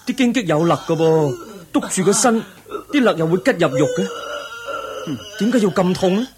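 An actor playing a polite, earnest young seeker in a radio drama, asking questions courteously and expressively. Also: male voice, high voice, lively intonation, normal speed, crowd noise. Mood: startled, puzzled. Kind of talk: Cantonese radio drama acting.

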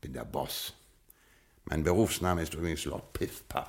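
An actor playing a cool, ironic aristocratic lord who has become a gangster boss, in an old radio crime play, with a dry, drawling delivery. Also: male voice, a bass voice, moderate pace, gravelly, slightly rough, full character voice. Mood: menacing irony.

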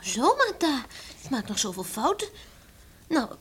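A woman playing a studious, eager young village girl in a Dutch radio play, deliberate and childlike. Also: high voice, very animated, a bit bright, husky, full character voice. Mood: innocent, insistent. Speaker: radio drama actor. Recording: noisy old radio play recording.

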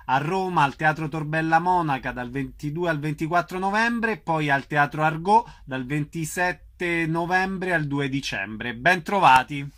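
Higher-pitched male radio interviewer. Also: a little slow, hardly any pauses, a bit bright.

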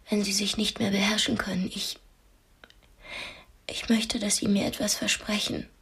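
An actress playing a proud, cultured young captive in a radio play, with a breathy, often whispery voice and an intense, articulate manner. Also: female voice, mid-range voice, full character voice. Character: proud, cultured young female art student held captive. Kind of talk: radio drama dialogue and monologue.

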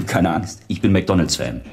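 An actor playing a roguish, clever computer technician in a radio play, talking in a wry, teasing, chatty way. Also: male voice, low-pitched, a little mellow, a little hiss or hum, exaggerated voice. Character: roguish, clever male computer-centre technician, playful tinkerer.

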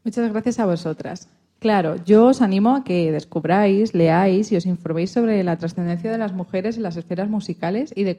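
A woman's voice: on the low side, mellow, dull audio. A woman playing a resilient, proud historical pianist and composer, telling her life story earnestly in a radio interview. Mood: encouraging. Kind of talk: scripted mock radio interview.

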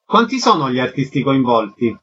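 A man's voice: mid-range voice, big pitch swings, normal speed, a bit bright, slightly rough, lo-fi sound. A man hosting a radio interview, putting concise questions and introductions to guests.

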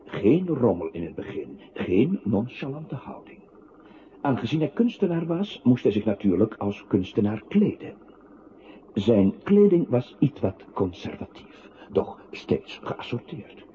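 An actor playing a narrator in a literary radio play, reciting deliberately and precisely. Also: male voice, medium pitch, sing-song, dark tone. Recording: muffled, noisy old radio-play recording.

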